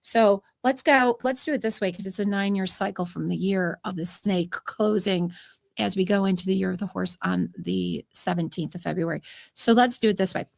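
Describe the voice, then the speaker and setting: A woman's voice: medium pitch, expressive, normal speed, creaky, dry recording. A woman hosting a podcast interview, chatty and upbeat with a soothing voice and easy laughter. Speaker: podcast host.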